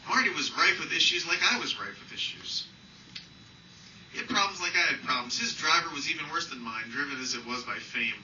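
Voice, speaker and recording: A man's voice: on the high side, expressive, a little fast, sharp tone. A man who is an author reading a personal essay aloud live, with a wry, self-deprecating manner, recorded from a distance indoors. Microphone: distant from the speaker. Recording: distant, roomy, muffled, noisy live recording.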